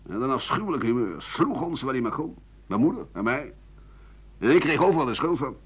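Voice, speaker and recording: A man's voice: medium pitch, sing-song, a little fast, breathy edge. An actor playing an embittered ageing writer and doctor who rambles sardonically through his memories in a radio monologue. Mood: bitter reminiscing. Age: older. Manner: rambling, bitter, sardonic, with muttering and dry chuckles. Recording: dull 1980 radio play recording.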